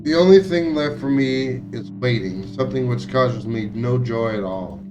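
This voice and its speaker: A man streaming a visual novel, reading its text aloud in a somewhat halting way with occasional stumbles. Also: mid-range voice, music in the background. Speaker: game streamer.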